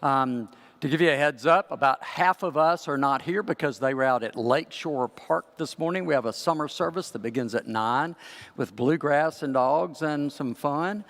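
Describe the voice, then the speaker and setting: Hoarse older man preaching in church. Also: fairly high pitch, normal speed. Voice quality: raspy. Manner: conversational, gently guiding. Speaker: pastor. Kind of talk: sermon. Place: large church sanctuary. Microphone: headset. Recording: long church reverb.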